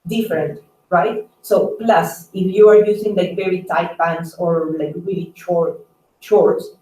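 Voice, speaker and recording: A woman doctor giving advice on a conference call, talking haltingly with "like" and "right?" dropped in often. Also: lowish voice, fairly even intonation, mellow. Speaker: staff doctor. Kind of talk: advisory conference call talk. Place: echoey room on a conference call. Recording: roomy, distant mic, noisy conference call.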